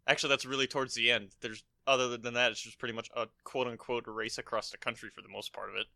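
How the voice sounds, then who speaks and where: A man's voice: medium pitch, flat delivery, fast talker, creaky, sharp tone. A man co-hosting a podcast in casual movie-review chat, blunt and given to swearing. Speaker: podcast co-host.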